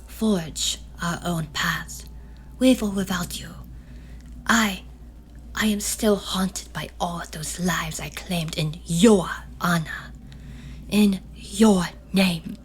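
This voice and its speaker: Woman playing a haunted shamaness, slow half-whisper. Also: lowish voice, voice pushed down. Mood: defiant, anguished. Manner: breathy half-whispered, emotional delivery. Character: remorseful, defiant shamaness, haunted and pleading. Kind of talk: theatrical horror roleplay audio.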